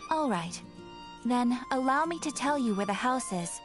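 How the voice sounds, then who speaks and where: A woman's voice: a little high, animated, moderate pace, over music, close to an everyday voice. A woman voicing a polite, composed young female guild receptionist in a video game. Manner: formal, composed.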